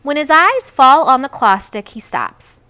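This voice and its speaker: A woman reads a children's book aloud. Her voice is bright and a little high, and her pitch moves in a lively, expressive way. She narrates as a storyteller would. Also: unhurried. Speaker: read-aloud reader.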